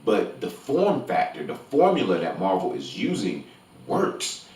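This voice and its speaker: A man's voice: deep voice, huge pitch swings, a little slow, a little mellow. A man giving a casual, enthusiastic film review to camera, gushing and emphatic, often repeating words for emphasis. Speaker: movie review vlogger. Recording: roomy echo, distant from microphone.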